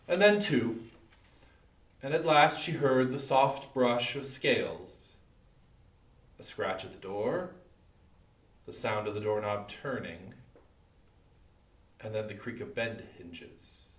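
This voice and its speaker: Slow, suspenseful male storyteller, echoey room. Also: medium pitch. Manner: deliberate, suspenseful, with long pauses. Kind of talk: dramatic horror folktale narration. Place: indoor room, live online performance. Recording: echoey room, distant microphone.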